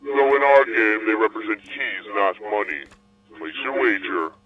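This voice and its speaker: An actor playing a calm, cunning male captor who interrogates his prisoner over a card game, measured and smugly polite. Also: fairly low pitch, lightly acted. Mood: coolly smug. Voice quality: measured, smug, coolly polite. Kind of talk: audio drama acting. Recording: phone-like, crowd noise behind.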